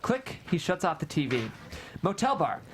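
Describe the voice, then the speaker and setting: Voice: medium pitch, very animated, moderate pace, slight background noise. A narrator reading scripted stage directions at a table read of a screenplay.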